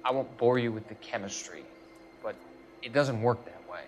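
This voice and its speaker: An actor playing a polite, earnest young medical student with quiet defiance in a video game drama. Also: male voice, medium pitch, normal speed, slight background noise, lightly acted. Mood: guarded, firm. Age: young adult.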